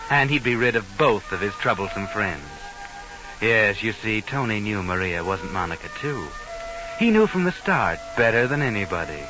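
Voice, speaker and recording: Male actor as ominous radio storyteller, deep-leaning voice, sardonic, deliberate, 1940s mystery broadcast, prominent musical backing. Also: natural voice, rough recording. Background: dramatic music.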